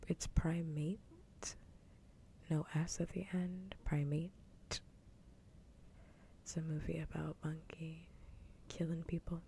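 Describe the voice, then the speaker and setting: A woman whispers softly and breathily into a close microphone as she hosts an ASMR stream. Her voice sits low and dark, with a heavy crackle of fry. She rambles casually and swears freely. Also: little pitch movement, normal speed. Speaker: ASMR livestream host.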